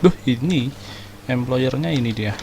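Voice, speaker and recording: A man's voice: medium pitch, moderate pace, noisy background. A young Indonesian man giving casual, slangy gameplay commentary as a streamer. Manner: informal, slangy. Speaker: gaming streamer. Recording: game music behind the voice. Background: game music.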